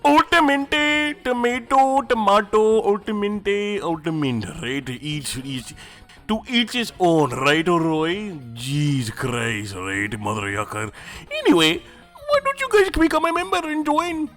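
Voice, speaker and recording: A woman's voice: medium pitch, very animated, fairly bright tone. A woman voicing a cheeky, rambling comic mascot who playfully begs viewers for support in an anime fan skit. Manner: halting, playful, tags phrases with "right?". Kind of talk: comic skit membership appeal. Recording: faint music behind the voice.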